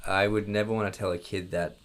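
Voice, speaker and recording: A man's voice: fairly low pitch, flat delivery, quick pace. A man chatting informally on a podcast, explaining his thoughts tentatively and in fragments. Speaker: podcast speaker. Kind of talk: casual chat.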